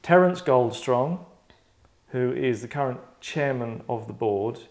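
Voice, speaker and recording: A man's voice: medium pitch, animated, measured pace. A man in casual host mode, talking in a mid-range voice. He rambles and digresses with a tongue-in-cheek manner as he sets up a script reading. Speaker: host and writer running a table read.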